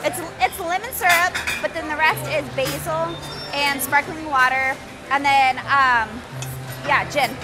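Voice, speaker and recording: A woman's voice: high voice, steady tone, measured pace. A woman with a Texan accent, working a restaurant and chatting about drinks with guests. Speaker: server or bartender. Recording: music and crowd chatter behind.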